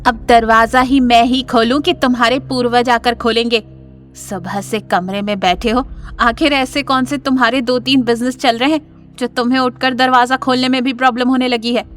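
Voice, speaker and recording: An actress playing a sharp-tongued, bossy mother in an audio drama, nagging and sarcastic. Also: on the high side, fairly even intonation, moderate pace, faint music behind, close to an everyday voice. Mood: irritated, scolding.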